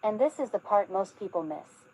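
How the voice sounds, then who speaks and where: A woman narrating a scripted news report in an even, polished read. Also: mid-range voice, moderate pace, breathy edge, rough recording.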